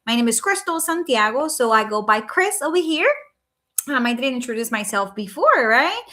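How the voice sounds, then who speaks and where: Bright Puerto Rican woman, chatty livestream. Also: a little high, big pitch swings, moderate pace. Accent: Puerto Rican Spanish. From Puerto Rico. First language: Spanish. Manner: earnest, chatty, frequent "right?" and "um". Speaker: life coach hosting a livestream. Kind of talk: casual live-stream talk. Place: home at night.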